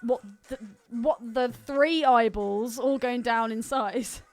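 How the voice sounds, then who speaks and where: A woman's voice: on the high side, takes it slowly, darkish tone, dry recording, faint music behind. A woman co-hosting a podcast, chatting casually about films in an informal, slangy, candid way. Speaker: podcast co-host. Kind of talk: casual film chat.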